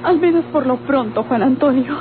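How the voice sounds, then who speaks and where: On a tinny, noisy old radio recording, a woman plays a gentle young Mexican fiancée. Her high voice is sorrowful and resigned, in melodrama style. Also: moderate pace, close to an everyday voice. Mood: tearful.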